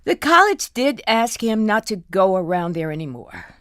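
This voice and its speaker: A woman's voice: medium pitch, big pitch swings, moderate pace, fairly bright tone, no echo, studio quality. An actress playing a wry, indulgent older wife who tells her story confidingly in an audio drama. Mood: placating. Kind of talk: audio drama acting with first-person narration.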